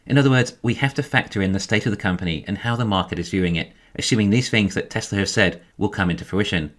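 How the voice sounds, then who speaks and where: A man giving a scripted financial commentary in a measured, explanatory way. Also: on the low side, a bit bright. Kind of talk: scripted narration, financial analysis.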